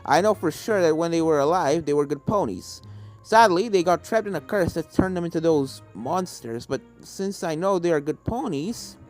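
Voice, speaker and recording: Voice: mid-range voice, expressive, normal speed, faint music behind, lightly acted. A narrator voicing a kindly, serious male pony professor who explains things formally in a read-aloud story. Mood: explanatory.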